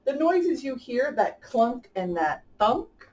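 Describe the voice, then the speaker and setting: A woman's voice: sits high, sing-song, slow, darkish tone. A woman speaks from a little way off the mic as a children's story-time host. She is enthusiastic and talks straight to her young audience, whether reading a picture book or chatting. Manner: enthusiastic, addressing children directly. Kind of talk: picture-book read-aloud and chat for children. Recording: distant from the mic.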